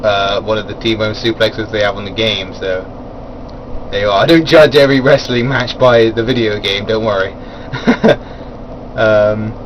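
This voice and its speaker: A man's voice: fairly low pitch, steady tone, measured pace, highs cut off, a little hiss or hum. A man giving a casual, rambling spoken review of a wrestling event, full of fillers and chuckling at his own asides.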